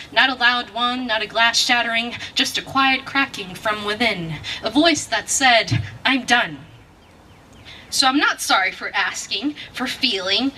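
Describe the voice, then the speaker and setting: A woman's voice: a little high, bright, forceful tone. A woman performing spoken word poetry with a rhythmic, emphatic, dramatic delivery. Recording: distant mic with faint background noise.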